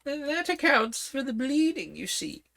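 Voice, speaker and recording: A woman's voice: very animated, a little slow, gravelly, fairly bright tone, heavily acted. A woman doing a fluttery scatterbrained old queen. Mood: fussing. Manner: high fluttery shrieks, scatterbrained. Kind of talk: storybook reading.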